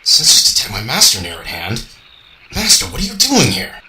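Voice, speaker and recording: An actor playing a brooding, logical robot in an amateur radio drama, speaking in a measured, formal, introspective way. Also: male voice, fairly low pitch, huge pitch swings, bright, forceful tone, full character voice. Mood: deferential. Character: brooding, logical young male robot, puzzled by feelings. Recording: echoey, faintly noisy home recording.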